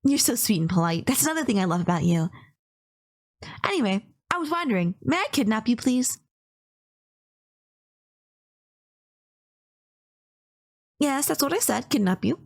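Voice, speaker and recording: A woman's voice: on the high side, big pitch swings, brisk. Woman acting a sweet, polite, lovestruck yandere girlfriend. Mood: bashful, flattered, then sweetly earnest. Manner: polite, bashful, earnest, rambling with small stammers. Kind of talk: first-person romantic audio roleplay, speaking to listener.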